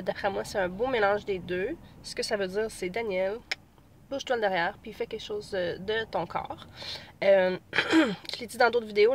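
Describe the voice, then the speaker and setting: A woman's voice: medium pitch, slight background noise. A woman in her thirties with a Québécois accent, chatting casually to camera from her car while she has a cold, rambling with many fillers. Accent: Québécois French. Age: 30s. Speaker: pregnancy vlogger. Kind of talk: casual vlog chat.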